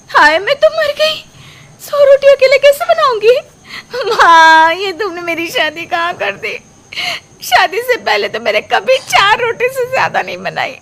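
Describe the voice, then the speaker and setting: A woman voicing a carefree young daughter and new bride in an animated family story, whiny and dramatic. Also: very high voice, voice pushed up, full character voice.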